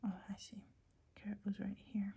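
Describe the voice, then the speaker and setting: A woman whispering a phonetics lesson in an ASMR style, hesitant and often pausing to search for words. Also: mid-range voice, completely flat delivery, dark tone. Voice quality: breathy. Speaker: teacher.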